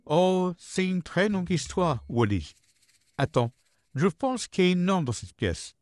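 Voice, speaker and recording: Man voicing a lively, jovial puppet dog. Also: high voice, unhurried, faint music behind. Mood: friendly. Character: friendly, loyal male puppet dog, jovial best friend. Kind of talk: comic dub voice acting.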